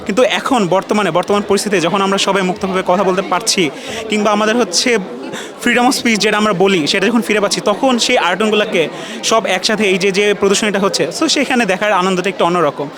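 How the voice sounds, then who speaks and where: High-voiced Bangladeshi man, crowd behind. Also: steady tone, quick pace. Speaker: exhibition visitor. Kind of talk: vox pop interview. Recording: noisy crowded public space.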